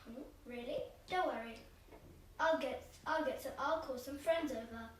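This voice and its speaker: A woman's voice: sits high, normal speed, voice pushed down, natural voice. A young girl voicing a kindly, reassuring dad in a puppet show. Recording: roomy, distant mic, faint noise.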